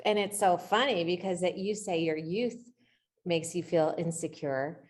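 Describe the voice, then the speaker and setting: A woman's voice: lowish voice, steady tone, measured pace, a little mellow. A middle-aged woman coaching in an informal, conversational way, encouraging and often checking in with short rhetorical questions. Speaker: real estate coach leading a coaching session.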